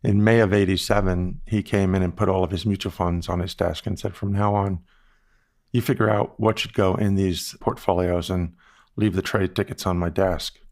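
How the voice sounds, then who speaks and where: A man in his sixties from the Bahamas, telling stories about his career in a measured, reflective way, as an interview guest. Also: low-pitched, steady tone, darkish tone. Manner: measured, reflective, with frequent "you know" asides. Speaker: guest, investment firm founder. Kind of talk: relaxed storytelling interview answers.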